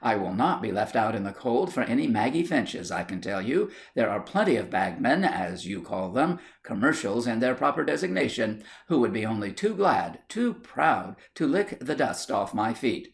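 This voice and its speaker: Man putting on a haughty, indignant Victorian wife, highly animated. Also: normal speed, vocal fry, few pauses. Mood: haughty indignation. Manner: haughty, dramatic, exclamatory. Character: indignant, jealous, melodramatic young Victorian wife.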